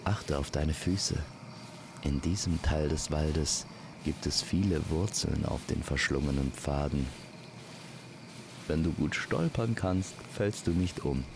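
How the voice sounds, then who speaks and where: A man telling a scripted fantasy story as an immersive campfire narrator, speaking gently and poetically to the listener. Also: a bass voice, gravelly, background noise. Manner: slow, gentle, poetic delivery. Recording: constant night insect and nature ambience. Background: crickets and forest night sounds.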